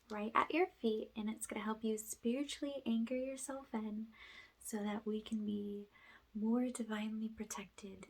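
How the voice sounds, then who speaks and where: A woman leading an ASMR guided spiritual healing session, speaking softly and breathily, often in a whisper, with slow, gentle, soothing guidance. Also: mid-range voice, gravelly, slight background noise, rough recording. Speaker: ASMR energy healer, host.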